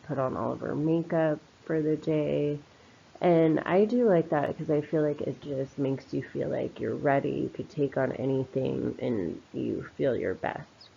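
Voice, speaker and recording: A woman's voice: rumbling low, vocal fry, mellow, husky. A woman giving an informal spoken commentary in a reflective, opinionated, conversational manner. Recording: slightly dull, lo-fi voice recording.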